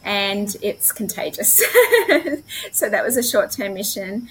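A woman with an Australian accent talks casually about her own life as an interview guest. Her voice is mid-pitched, with faint noise behind it. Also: steady tone, a little slow, rough recording. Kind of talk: casual personal storytelling. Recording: clear background noise.

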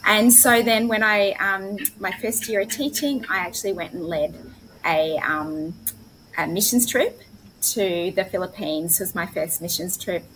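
A woman with an Australian accent talks casually about her own life as an interview guest. Her voice is mid-pitched, with faint noise behind it. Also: measured pace, a bit bright, poor audio. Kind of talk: casual personal storytelling. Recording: clear background noise.

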